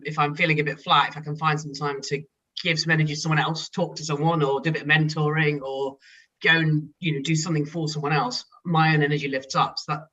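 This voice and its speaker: Fast, bright-voiced woman on a distant line. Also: sits low, dull audio. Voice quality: lively, fast-talking, effervescent. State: a bit tired. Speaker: guest, company chief executive. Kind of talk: energetic conversational interview answers.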